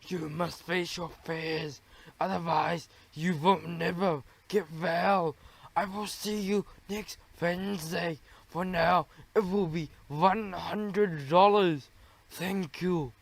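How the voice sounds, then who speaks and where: A man voicing a solemn, evasive psychiatrist mouse with a slow, deliberate, foreign-sounding accent in a children's storybook. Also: sits high, steady tone, creaky, full character voice. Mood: solemn, firm. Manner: slow, deliberate, drawn-out phrasing.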